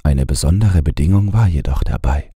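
A man narrating a bedtime fairy tale in a gravelly, husky voice, with a measured, unhurried storyteller's delivery. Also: a bass voice, animated, mellow, no echo, clean studio sound. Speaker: audiobook narrator.

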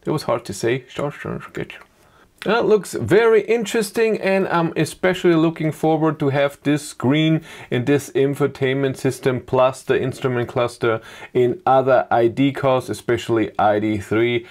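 Middle-aged German-accented vlogger, talks loosely with many "uh"s. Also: male voice, mid-range voice, normal speed, darkish tone. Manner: thinking aloud with fillers and restarts. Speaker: YouTube car reviewer. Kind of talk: casual commentary over slides. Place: small dim indoor room.

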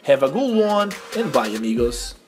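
A young man from Brazil with a Brazilian Portuguese accent, narrating a game tips tutorial in careful, slightly halting English. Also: mid-range voice, big pitch swings, over music. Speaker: game tips video host. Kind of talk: scripted gameplay tutorial voice-over.

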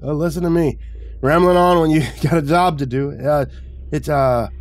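A man's voice: fairly high pitch, moderate pace, voice pushed up, close to an everyday voice. A man playing a chatty, chuckling retired man who rambles in a folksy way in a horror story. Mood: gossipy, rambling. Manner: rambling, conversational, snorting amusement.

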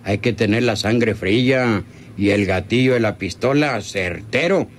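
A man's voice: on the low side, unhurried, lightly acted. A man playing a scheming, greedy country henchman in a radio serial, talking in a coaxing, rustic, colloquial way. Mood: cold, scheming. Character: scheming rural male bandit henchman, persuasive and greedy. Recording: noisy old radio serial recording.

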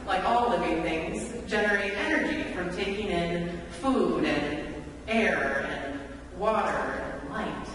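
A woman in her thirties preaching a sermon from a church pulpit in measured, deliberate phrases with rhetorical repetition. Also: mid-range voice, a bit bright. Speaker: pastor. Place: large church sanctuary, at the pulpit. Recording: echoey church hall, distant mic, background noise.

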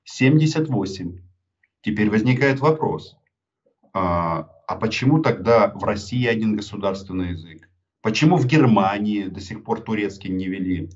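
A man in his thirties with a Ukrainian accent, arguing forcefully in an interview over a video call and pressing his points with rhetorical questions. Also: on the low side. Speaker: journalist being interviewed. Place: indoor room with a poster on the wall. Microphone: webcam on a video call. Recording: dull lo-fi video call audio.

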